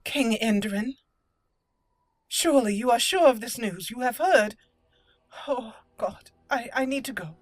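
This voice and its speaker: A woman voicing a proud, courteous young elf mage from a fantasy game, with formal, measured phrasing. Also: a little high, dry recording, voice pushed up. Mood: shaken.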